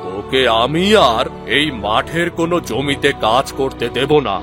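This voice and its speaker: A man's voice: medium pitch, animated, measured pace, music in the background, natural voice. Domineering, scolding village landlord voiced by a man. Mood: angry, contemptuous. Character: cruel, stingy, bullying village landlord. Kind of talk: Bengali fairy-tale cartoon dubbing.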